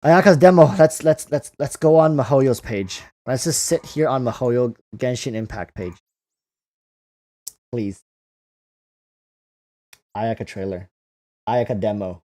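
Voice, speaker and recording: A man's voice: medium pitch, unhurried, pauses often, dark tone. A man hosting a casual livestream, reading out and answering viewer comments, often repeating phrases as he thinks aloud. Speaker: livestream host.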